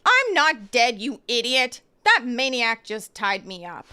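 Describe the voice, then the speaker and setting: Woman playing a bright, indignant fish mascot. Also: on the high side, big pitch swings, unhurried, smooth, heavily acted. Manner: exasperated, snapping.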